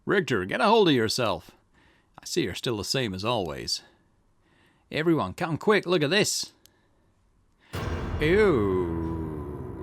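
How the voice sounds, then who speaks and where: A man hosting a gaming channel, reading a detective game's dialogue aloud in a let's play, swinging between character lines. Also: mid-range voice. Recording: game music playing underneath. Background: game music.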